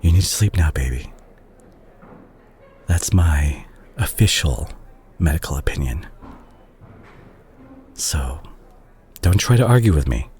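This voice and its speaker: A man playing a remorseful, tender doctor and ex-boyfriend in an intimate roleplay audio drama, speaking softly and hesitantly with a husky voice. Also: rumbling low, expressive, slight background noise, full character voice. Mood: tender, soothing. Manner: hesitant, soft-spoken, halting.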